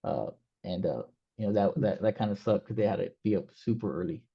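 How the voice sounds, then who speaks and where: A man's voice: fairly low pitch, animated, brisk, vocal fry, slightly rough. A man whose first language is Spanish, teaching an online coding class in a loose, casual way with many fillers and restarts. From Mexico. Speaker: coding instructor. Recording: slightly dull, lo-fi sound.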